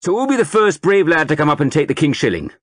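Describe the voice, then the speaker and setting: A man's voice: a little high, sing-song, fast talker, dry recording, studio quality, pitched above the performer's own voice. A man voicing a blustering middle-aged army sergeant major who whips up a crowd with a rousing recruiting speech.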